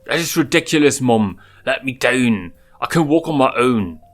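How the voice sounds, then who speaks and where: A man's voice: mid-range voice, animated, normal speed, soft background music, voice pushed up, close to an everyday voice. A man voicing a sulky, hot-tempered young male lion cub, indignant and mocking, in a storybook reading.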